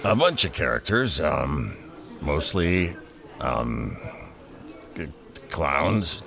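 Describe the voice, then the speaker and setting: Man playing a deep, creaky, bashful roustabout. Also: very animated, very slow, fairly bright tone, soft background music, exaggerated voice. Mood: bashful, earnest. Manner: awkward, hesitant, polite. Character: shy, bashful, earnest male circus roustabout. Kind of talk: audio drama acting.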